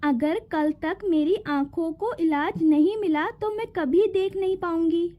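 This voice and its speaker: A woman's voice: very high-pitched, a little slow, lo-fi sound. A woman voicing a worried, loving fairy mother in a cartoon, with an anxious motherly manner. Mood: glad, anxious.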